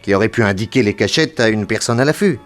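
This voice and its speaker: Casting: a deep-voiced private detective, composed, probing, deliberate, for a radio mystery play with light music behind. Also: male voice, animated, a bit bright. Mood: grave, deductive. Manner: measured, deliberate questioning. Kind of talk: French radio detective drama acting.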